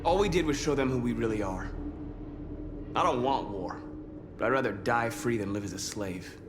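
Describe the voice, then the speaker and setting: An actor playing an earnest, resolute android leader in a cinematic game, speaking in a measured, intense way. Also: male voice, medium pitch, animated, noisy background, natural voice. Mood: solemn conviction. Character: earnest young male android rebel leader, resolute and principled. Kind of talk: cinematic game drama acting.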